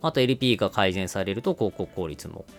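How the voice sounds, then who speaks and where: Man, low creaky voice, lively intonation, podcast co-host, conversational explainer, long connected runs. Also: fairly quick, soft background music.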